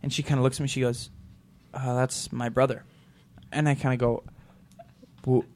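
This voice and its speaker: A man's voice: mid-range voice, level tone, moderate pace, a little mellow. A man hosting a casual talk show, telling rambling stories and laughing through them. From Montana. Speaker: show host. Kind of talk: casual storytelling chat.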